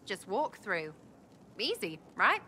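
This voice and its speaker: A cheeky young female elf from a video game with a bright, forceful voice. Her British accent is slangy and irreverent. There is faint ambience behind her. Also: mid-range voice, huge pitch swings, slow, slight background noise, exaggerated voice. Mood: playful. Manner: breezy, slangy, impish. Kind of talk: video game dialogue acting.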